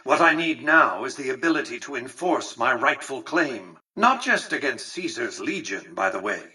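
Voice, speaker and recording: Imperious old tycoon, husky, bright forceful voice, pompous, precise, condescending, video game dialogue, slightly distant pickup. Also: male voice, mid-range voice, very animated, normal speed, heavily acted. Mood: calculating, proud. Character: imperious, calculating, centuries-old male tycoon ruling a futuristic Vegas.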